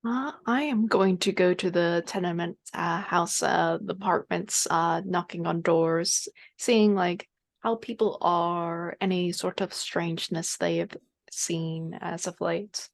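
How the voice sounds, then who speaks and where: A woman's voice: fairly low pitch, fairly even intonation, unhurried, gravelly. A woman casually laying out her character's actions as a player in a tabletop roleplaying game.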